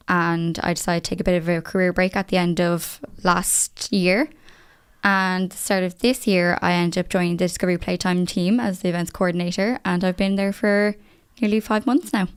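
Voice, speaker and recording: A woman's voice: on the low side, level tone, moderate pace, smooth. A woman in her twenties co-hosting a podcast, chatting eagerly and enthusiastically. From Ireland. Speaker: podcast co-host. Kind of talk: casual podcast chat.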